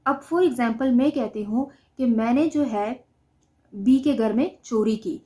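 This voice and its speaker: A woman lecturer with a Pakistani accent teaches in an explanatory, didactic way. Her voice sits a little high, with a dark, relaxed tone. She alternates between reading notes and explaining them, putting questions and answering them herself. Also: slow. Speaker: law lecturer. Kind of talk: explanatory lecture mixing read-out notes with examples.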